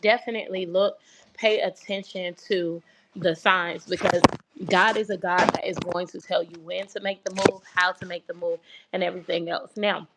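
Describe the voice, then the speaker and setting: A woman with a soft, childlike baby voice chatting casually to viewers on a livestream she hosts. She rambles, repeats herself and talks about her faith. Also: lowish voice, steady tone, moderate pace, heavy background noise. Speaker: host of a relocation livestream. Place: home abroad in Kenya. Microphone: phone livestream.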